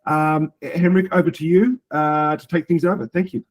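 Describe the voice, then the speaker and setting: A man with a somewhat high voice hosts a business webinar. He introduces the guests in a loose, rambling way and fills his pauses with ums and uhs. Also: fairly even intonation. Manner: rambling, many fillers. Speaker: webinar host.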